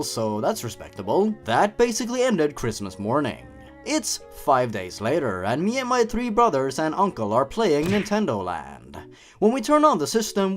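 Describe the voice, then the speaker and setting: A man reading a story aloud and breaking in with sarcastic, mocking commentary as the host. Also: mid-range voice. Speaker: host reading and commenting on online horror stories.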